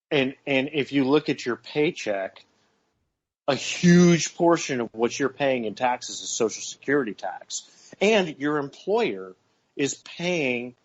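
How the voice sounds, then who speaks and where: A man's voice: medium pitch, dull audio. A man in his forties co-hosting a casual radio talk show, earnest and repeating words as he works through his points. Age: 40s. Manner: earnest, repeats words while gathering thoughts.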